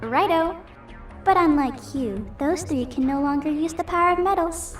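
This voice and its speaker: Woman voicing a high-pitched, smugly teasing dark creature. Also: moderate pace, natural voice. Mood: gleeful taunting. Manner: teasing, mock-sweet. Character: smug, taunting female dark creature, mischievous and playful. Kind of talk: amateur fandub voice acting. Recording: roomy home recording, distant mic, music behind.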